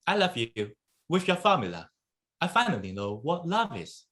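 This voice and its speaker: A woman's voice: sits low, sing-song, moderate pace, fairly bright tone. A woman playing a smug, lovestruck, callous young partner in a play reading, with a teasing manner. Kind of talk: reader's theatre acting.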